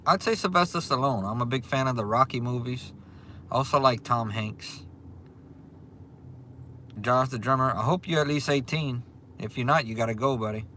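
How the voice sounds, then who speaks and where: Louisiana-accented man in his forties, live-stream host, relaxed, mid-pitched, faint background noise. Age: 40s. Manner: easygoing, repeats himself, frequent ums. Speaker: livestream host. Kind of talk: casual livestream chat reading viewer comments. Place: restaurant. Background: faint restaurant ambience.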